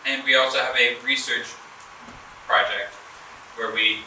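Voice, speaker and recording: A man's voice: mid-range voice, a little slow, a little hiss or hum. Young Southern man in an echoey hall. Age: 20s. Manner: relaxed, many filler uhs, loses his thread. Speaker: student speaker at an education fair. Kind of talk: presentation to an audience. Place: large event hall. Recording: echoey room from far off.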